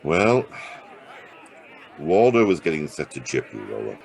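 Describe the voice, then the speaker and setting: An American actor playing a world-weary, hard-boiled private detective with a soft streak in a noir audio drama, gravelly and laconic. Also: male voice, very deep voice, sing-song, measured pace, heavily acted. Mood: awkward, kind. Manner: laconic, colloquial tough-guy delivery. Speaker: actor playing the lead and narrator. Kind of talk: noir audio drama dialogue and first-person narration.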